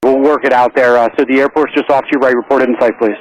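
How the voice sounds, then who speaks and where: A man working as an air traffic controller, giving calm, accommodating, businesslike instructions to pilots over aviation radio. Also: medium pitch, fast talker, low-quality recording.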